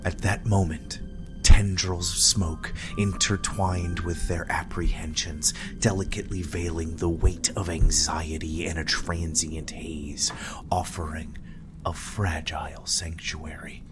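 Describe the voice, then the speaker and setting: Horror narrator, male: very deep, hushed, ominous, unhurried, over prominent music. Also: little pitch movement. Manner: hushed, breathy, ominous delivery. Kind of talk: dramatic gothic horror storytelling narration.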